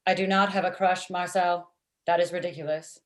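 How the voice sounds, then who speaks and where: A woman's voice: fairly low pitch, steady tone, fairly quick, close to an everyday voice. Woman acting a blunt, stilted robot matchmaker. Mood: defensive. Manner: stilted, uncontracted, machine-like phrasing. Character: blunt, lovestruck robot matchmaker with literal speech. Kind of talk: table read of comedy script. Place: indoors on a video call.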